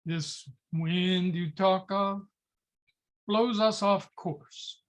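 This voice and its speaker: A woman's voice: medium pitch, fairly even intonation, very slow. A woman playing a streetwise, impatient young gang member in a dramatic table read of a play. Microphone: video call.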